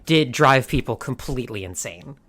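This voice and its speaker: Very animated, swooping podcast co-host. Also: mid-range voice, moderate pace. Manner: amused, reactive, laughs readily. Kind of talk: casual fandom chat.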